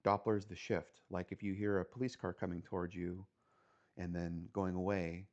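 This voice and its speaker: Low-voiced man explaining his setup casually. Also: flat delivery, normal speed, darkish tone, lo-fi sound. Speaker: amateur radio operator giving a station tour.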